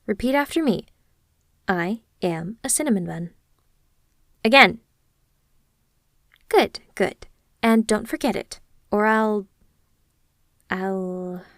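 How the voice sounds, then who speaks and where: A woman's voice: mid-range voice, no room sound, studio quality. A woman playing an obsessive, clingy yandere kidnapper in an intimate ASMR roleplay, speaking in a gushing, hesitant, awkwardly earnest way straight to the listener. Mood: adoring, gushing.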